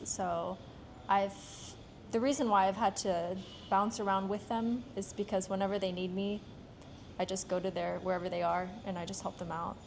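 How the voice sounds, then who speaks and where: A woman's voice: mid-range voice, creaky, slight background noise. A young American woman answering interview questions casually, with many fillers and hesitant phrasing. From United States. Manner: soft-spoken, filler-heavy, hesitant phrasing. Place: outdoors on a street in Japan.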